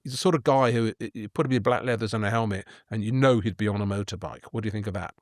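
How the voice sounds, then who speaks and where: A man's voice: lowish voice, very fast, no echo, studio quality. A man co-hosting a casual art history chat, telling stories with playful enthusiasm and asking his co-host questions. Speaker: podcast co-host.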